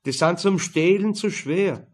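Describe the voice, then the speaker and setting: Man playing a nonchalant student, higher-pitched. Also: measured pace. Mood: unbothered. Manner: friendly, nonchalant. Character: easygoing, unbothered male university student son.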